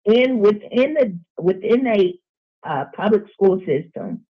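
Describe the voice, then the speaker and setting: Woman, middle pitch, emphatic discussion talk, repeats phrases, opinionated, tinny phone-quality sound. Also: lively intonation, slow. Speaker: teacher, guest in a discussion.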